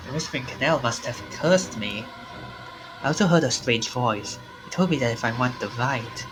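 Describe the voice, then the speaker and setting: A man's voice: medium pitch, natural voice, rough recording. A man voicing a shaken but defiant curse bearer in an emphatic inner monologue from a visual novel. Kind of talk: visual novel read-aloud.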